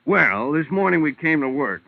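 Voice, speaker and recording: A man's voice: on the high side, big pitch swings, moderate pace, gravelly. An actor playing a placating, chatty shipping foreman in an old radio drama, folksy and long-winded. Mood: chatty, puzzled. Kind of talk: 1940s-50s radio character acting. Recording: tinny, phone-like old radio recording.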